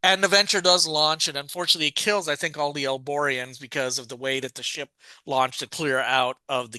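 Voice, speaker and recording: Man, podcast co-host, rambling recap, bright tone, fairly high voice, dry sound. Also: dry recording, low-quality recording. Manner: rambling, many restarts and fillers. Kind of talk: casual plot recap.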